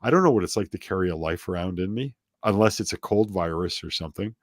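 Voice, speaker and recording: A man's voice: deep voice, lively intonation, quick pace. A middle-aged man as an interview guest, chatting casually and rambling off onto tangents with self-deprecating asides.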